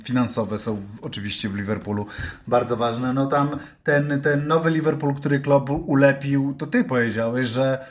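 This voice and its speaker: A man chatting casually about football as a panellist, halting and repeating his words. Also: mid-range voice. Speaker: podcast panellist.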